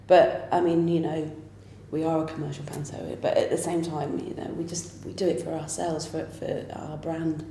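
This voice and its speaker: A British woman with a very low, warm and mellow voice makes her points with firm emphasis in a relaxed conversation. Her voice carries a roomy echo from the space. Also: moderate pace, slight background noise. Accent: British English. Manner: emphatic, firmly opinionated. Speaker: pantomime writer and director. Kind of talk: casual conversational interview.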